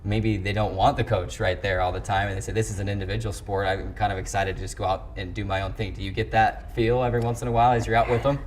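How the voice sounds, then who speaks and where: Male sports interviewer, lowish pitch, chatty and long-winded, recorded with noticeable ambient noise. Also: level tone, fast, few pauses. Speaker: sports show host interviewing a coach. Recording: clearly noisy background ambience.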